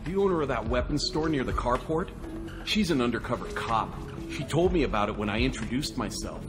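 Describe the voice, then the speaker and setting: A man's voice: medium pitch, expressive, brisk, over music, natural voice. Man playing a boastful, swooping wannabe space hero. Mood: earnest, eager. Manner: self-important bluster, turning defensive and pleading. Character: delusional, boastful wannabe male space hero, eager fanboy. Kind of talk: video game voice acting.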